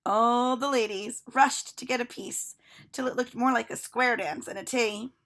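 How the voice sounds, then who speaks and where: A woman reading a children's storybook aloud with expressive narration. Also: fairly high pitch, a little slow.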